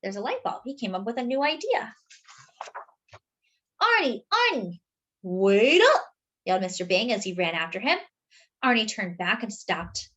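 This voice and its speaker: A woman's voice: medium pitch, expressive, brisk, fairly bright tone. A woman storyteller reading a picture book aloud for children, with explanatory asides to young listeners.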